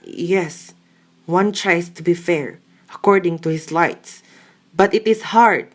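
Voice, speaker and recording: Woman as a low-voiced, defensive fiancé. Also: takes it slowly. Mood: conflicted. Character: defensive, conflicted man engaged to be married. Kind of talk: amateur radio drama acting.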